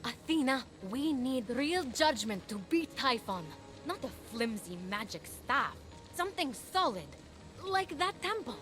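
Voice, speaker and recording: Casting: young mortal hero for animated game, earnest and encouraging, high voice. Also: female voice, a little slow, faint music behind, full character voice. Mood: earnest, firm. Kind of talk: animated video game acting.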